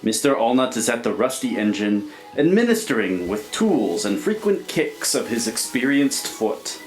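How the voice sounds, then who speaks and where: A man's voice: medium pitch, sing-song, normal speed, soft background music, lightly acted, poor audio. A man narrating a radio play in a dramatic, scripted storytelling style. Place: live stage performance.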